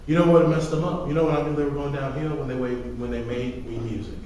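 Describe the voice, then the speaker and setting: A man's voice: mid-range voice, steady tone, fast, darkish tone. Echoey, distant man ranting casually. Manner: emphatic, ranting with rhetorical questions. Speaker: podcast co-host discussing video games. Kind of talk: casual opinionated chat. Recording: echoey hall, distant mic, faint noise.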